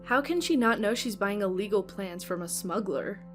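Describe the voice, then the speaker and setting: Female actor as doubtful space investigator, medium pitch, speculative, music underneath. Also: expressive, moderate pace, lightly acted. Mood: sceptical. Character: sceptical, speculating detective in space. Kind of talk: amateur sci-fi audio play acting.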